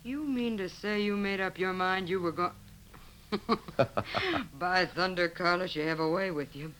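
A woman's voice: fairly low pitch, unhurried, fairly bright tone. A tough, brassy frontier saloon boss in an old radio western. She talks bluntly and heartily in folksy western phrases, on a slightly noisy vintage recording. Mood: brassy, amused. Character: big, tough, brassy widowed frontier cafe owner, easily flattered. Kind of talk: 1950s radio acting.

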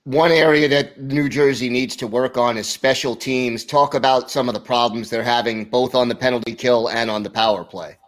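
A man's voice: mid-range voice, fairly even intonation, normal speed, few pauses. Man hosting a sports podcast. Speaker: podcast host. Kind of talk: podcast interview and ad read.

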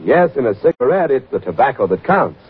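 A man's voice: medium pitch, very animated, brisk, a little hiss or hum. A man delivering a scripted 1940s radio commercial as an announcer. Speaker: advertisement announcer. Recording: thin, phone-like vintage radio recording.

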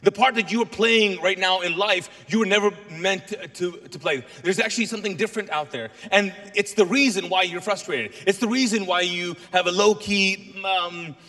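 A middle-aged man who grew up in Kuwait with Urdu as his first language, preaching as a guest pastor to a congregation in a lively, joking way. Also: high-pitched, moderate pace, hardly any pauses. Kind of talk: sermon. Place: large church auditorium. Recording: long hall reverb.

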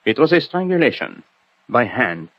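A clinical French police doctor in old radio drama. His delivery is clipped and dramatic, with pitch that leaps about. The recording sounds dull and aged. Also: big pitch swings, moderate pace.

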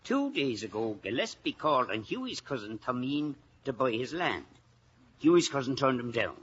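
Blustery Irish villager from an old radio play, emphatic and theatrical. The voice leaps about with a bright, hard edge over a faintly hissy recording. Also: male voice, mid-range voice, sing-song, moderate pace, lo-fi sound. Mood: pointedly suspicious. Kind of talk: 1950s radio drama acting.

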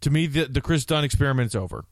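A man's voice: medium pitch, lively intonation, fast, no room sound. An American man co-hosting a sports podcast, giving blunt, emphatic opinions on basketball in a conversational way.

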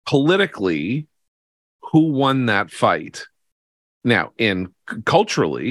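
Podcast host, American male, very deep voice, emphatic and halting political commentator, dry recording. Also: fairly bright tone, dry recording.

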